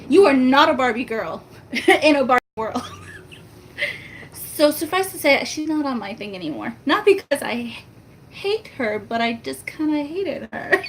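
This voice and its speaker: A soft-spoken woman, chatting casually and laughing with her viewers in a rambling, self-mocking way. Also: very animated, unhurried, long pauses, slight background noise. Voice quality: soft-spoken, high-pitched. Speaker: family vlogger.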